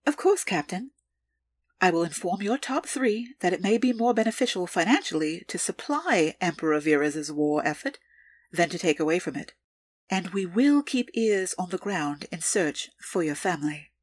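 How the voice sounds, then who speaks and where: A woman playing a calculating, deferential underworld information broker in an audio drama, with formal, measured, precise delivery. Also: on the low side, lively intonation, no room sound. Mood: dry, assured.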